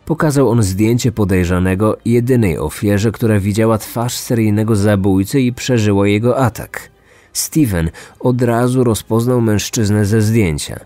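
A man's voice: on the low side, normal speed, mellow, no echo. A man delivering scripted true crime documentary narration as a podcast narrator.

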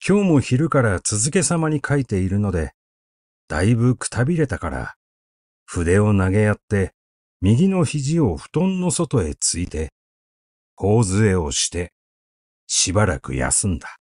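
A man reading literary prose as an audiobook narrator, in a measured, deliberate storytelling delivery. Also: fairly low pitch, very animated, darkish tone, dry recording, clean studio sound. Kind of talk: literary recitation, scripted narration.

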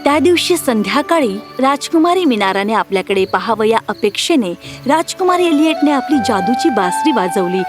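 A woman tells a scripted fairy tale. Her voice is high and very animated in pitch, with music playing clearly behind her. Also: normal speed. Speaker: storyteller narrator.

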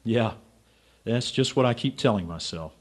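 Man playing an animated, wry amateur sleuth. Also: medium pitch, moderate pace, faint music behind, close to an everyday voice. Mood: gentle, wry. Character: naive, stubborn, wry male amateur sleuth. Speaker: actor in radio drama. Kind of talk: radio drama acting.

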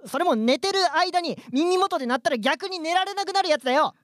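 A man's voice: very high-pitched, animated, brisk, a bit bright, dry recording, clean studio sound. A man playing a polite, easily flustered young man as the bewildered straight man in a radio comedy drama.

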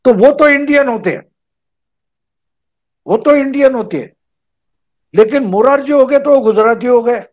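An older man speaks in a strikingly high voice. He drives home his argument with repetition and lists of names. He sounds like a guest talking over a home video call. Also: normal speed, pauses often, a little mellow. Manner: emphatic, repeats key phrases, lists names. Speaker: political commentator guest.

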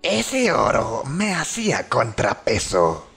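A man with a Latin American Spanish accent voicing a menacing, malicious venomous winged serpent from a video game, with a raspy voice and a slow, sinister, dramatic delivery. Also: medium pitch, huge pitch swings, a bit bright, soft background music, heavily acted. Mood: mocking. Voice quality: raspy, gravelly. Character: menacing venomous flying serpent-dragon, male, gloating and malicious. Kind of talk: video game character voice lines, fandub.

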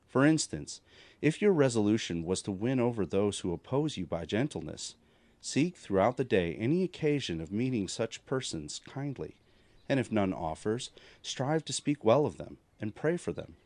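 Male narrator for devotional readings: slightly low pitch, animated inflection, formal instructive delivery, dry studio-like sound. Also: no room sound. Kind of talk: scripted narration of spiritual counsel.

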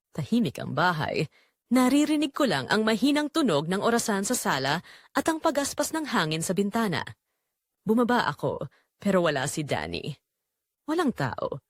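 A woman's voice: mid-range voice, no room sound, clean studio sound. A woman playing an anguished, betrayed wife who narrates her story in an emotive, confiding way for an audio drama. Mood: tense, dreading. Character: Rosa, anguished betrayed wife, doubting her own sanity. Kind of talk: dramatic first-person scripted narration.

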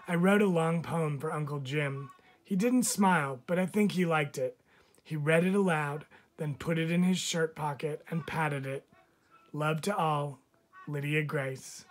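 A man, a teacher, reads a picture book of letters aloud with expressive, measured phrasing. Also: fairly high pitch. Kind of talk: storybook read-aloud of letters.

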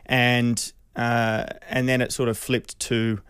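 An Australian man in his thirties telling a personal story in an interview, earnest, hesitant and measured, close on a studio mic. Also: medium pitch, little pitch movement, a bit bright, clear, resonant tone, no room sound. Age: 30s. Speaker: radio interview guest. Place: small radio studio. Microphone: close studio microphone.

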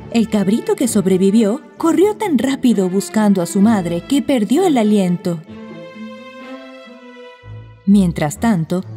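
Mellow, expressive woman storyteller. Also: medium pitch, long reverb tail. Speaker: narrator. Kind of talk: scripted children's bedtime story narration.

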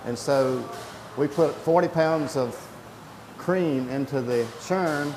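A man's voice: fairly high pitch, slow. Middle-aged man in an echoey, noisy room. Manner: plain-spoken, step-by-step. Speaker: creamery worker explaining production. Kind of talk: explanatory interview answers. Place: indoor creamery processing room with steel tanks. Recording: echoey room, loud equipment noise.

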